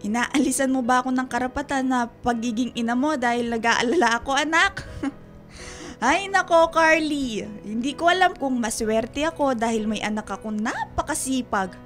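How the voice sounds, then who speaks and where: A woman's voice: sits high, normal speed. An actress playing a fretful, doting middle-aged Filipino mother who fusses and nags in a radio drama. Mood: worried, scolding. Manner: fussing, nagging, expressive. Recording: music behind the voice.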